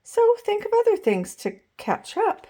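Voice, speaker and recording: Kindergarten boy for a picture book, played by a woman: high-pitched, lively, earnest, childlike. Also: a little slow, a little hiss or hum, full character voice. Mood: earnest, urging. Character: earnest little kindergarten boys squabbling and making up.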